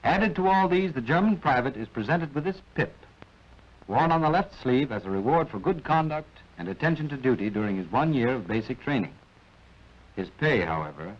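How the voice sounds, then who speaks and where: A man with an American accent narrating a 1940s military training film, instructing in a clipped way with wry asides. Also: medium pitch. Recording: dull, band-limited vintage film soundtrack.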